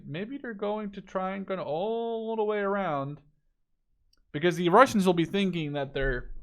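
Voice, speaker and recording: A man giving casual, unscripted spectator commentary on a video game, thinking aloud in a rambling, speculative way. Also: fairly high pitch.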